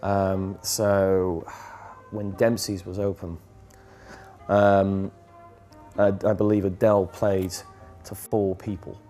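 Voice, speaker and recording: A man with a lowish voice answers interview questions about live music earnestly, circling back with fillers as he goes. Faint music sits quietly under him. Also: little pitch movement, slow pace, long pauses. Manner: earnest, loose, many fillers and restarts. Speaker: music campaigner.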